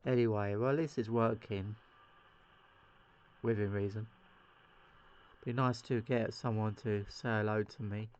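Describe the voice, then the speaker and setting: A man's voice: fairly low pitch, fairly even intonation, measured pace, pauses often, darkish tone, smooth, muffled recording. A British man chatting casually as a CB radio hobbyist on his video channel, rambling hesitantly in a self-deprecating way. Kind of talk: casual hobby vlog chat.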